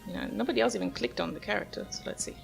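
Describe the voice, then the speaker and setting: A woman gives casual commentary over a video game. Her voice is slightly low with noticeable vocal fry, and soft game music plays behind her. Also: wildly swooping pitch, racing. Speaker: gamer, host of the video series.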